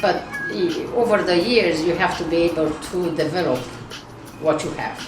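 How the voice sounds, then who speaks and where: Romanian lady, really low voice, broken english w restarts, roomy echo, mic kinda far, music under it. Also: moderate pace. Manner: non-native grammar, frequent restarts. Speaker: nursing school owner. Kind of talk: casual interview answers.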